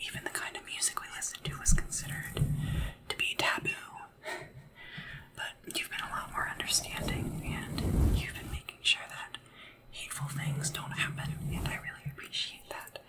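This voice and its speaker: A woman speaking softly and whispering in an ASMR roleplay as a polite, hesitant, deferential sleep assistant to royalty. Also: normal speed, far from the mic, poor audio. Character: nervous, devoted royal sleep assistant to a dragon ruler.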